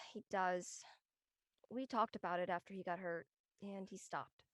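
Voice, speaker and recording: A woman's voice: fairly low pitch, steady tone, unhurried, a bit bright, no echo, clean studio sound. A young woman acting a sincere, conversational college student in an online drama. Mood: reflective, subdued. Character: earnest young Filipino-American college woman, frank among friends.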